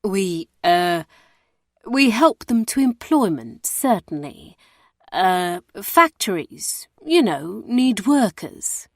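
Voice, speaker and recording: A woman's voice: mid-range voice, sing-song, slow pace, no room sound, studio quality. A woman voicing a nervous, blustering middle-aged male orphanage warder who stammers defensively. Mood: flustered.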